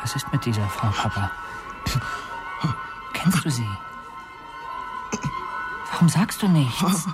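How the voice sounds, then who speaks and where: A man's voice: mid-range voice, sing-song, slow pace, pauses often. A young man playing an earnest, attentive and dreamy teenage son in a radio drama. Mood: concerned, insistent. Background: music.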